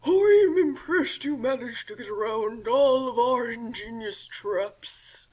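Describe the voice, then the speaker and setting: A woman's voice: a little high, slow, darkish tone, husky, poor audio. A woman voicing a villainous talking book that explains its schemes formally in a comic fan fiction reading. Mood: grudgingly impressed.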